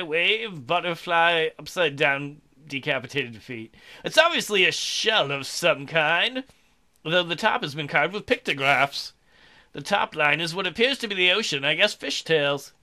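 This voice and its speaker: A man's voice: a little high, lively intonation, a little slow, pushed and bright. A man hosting a let's play, reading a visual novel aloud and breaking in with irreverent, playful, mocking asides.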